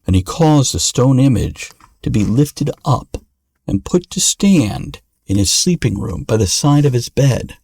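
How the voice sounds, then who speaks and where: A man's voice: mid-range voice, animated, unhurried, a little mellow, husky, no room sound. Man, fairy tale narration, podcast storyteller, dry recording.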